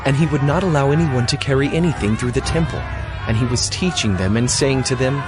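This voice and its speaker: A man narrating a dramatized scripture reading in a steady, even way. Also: mid-range voice, brisk. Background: music.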